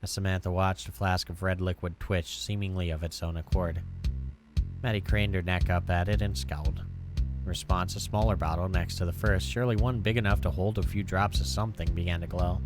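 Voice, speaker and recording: A man's voice: deep voice, fairly even intonation, a little fast. A man reading visual novel text aloud as a let's play, sometimes stumbling and rereading a line. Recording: music behind the voice. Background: game music with guitar.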